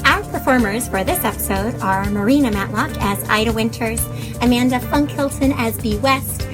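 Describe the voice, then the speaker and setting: A woman's voice: fairly high pitch, moderate pace. A woman announcer reading scripted podcast credits. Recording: music loud behind voice.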